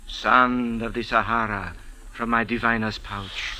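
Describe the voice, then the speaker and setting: An actor playing a humble, insistent Egyptian sand diviner in old-time radio drama, with a halting, incantatory delivery. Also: male voice, mid-range voice, steady tone, pushed and bright, poor audio. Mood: mystical, coaxing. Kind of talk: 1940s-50s radio drama acting.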